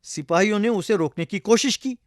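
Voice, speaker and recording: A man's voice: high voice, a little fast, dry recording, studio quality. A man narrating a scripted comic folktale as a storyteller.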